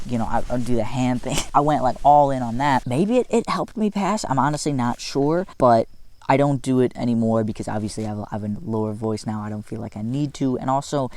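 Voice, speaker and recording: A man's voice: mid-range voice, darkish tone, no echo. A young adult man giving casual advice in a vlog, upbeat and chatty, full of "like" and laughing at himself. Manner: upbeat, chatty, self-deprecating with filler likes. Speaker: vlogger.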